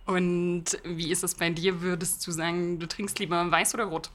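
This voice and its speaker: Low-voiced woman hosting a wine podcast. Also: flat delivery, moderate pace. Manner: cheerful, laughs often. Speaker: podcast host. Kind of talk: scripted intro, then casual interview chat.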